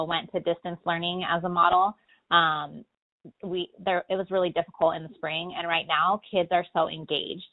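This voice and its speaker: Casting: American woman, 40s, drama teacher in interview, low pitch, frequent vocal fry, dulled phone audio. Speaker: middle school drama teacher and director. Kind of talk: earnest interview answers. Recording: muffled, band-limited telephone interview.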